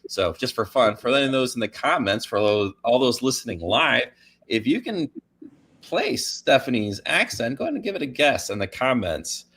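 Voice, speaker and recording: A man's voice: mid-range voice, big pitch swings, normal speed, a bit bright, husky. A man hosting a live interview show, easygoing and joking with his listeners. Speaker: podcast host. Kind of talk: casual interview chat.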